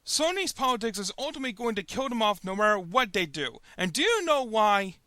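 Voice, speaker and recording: A man's voice: high-pitched, very animated, brisk, sharp tone, dry recording. A man hosting a web review show, giving scripted comedic commentary in an emphatic, mock-indignant manner.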